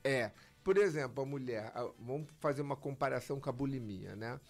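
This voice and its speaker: A man's voice: medium pitch, fairly quick, faint music behind. A man, a physician guest explaining medical matters in an interview, emphatic and acting out patients' words. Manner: lively, emphatic, acts out patients' lines.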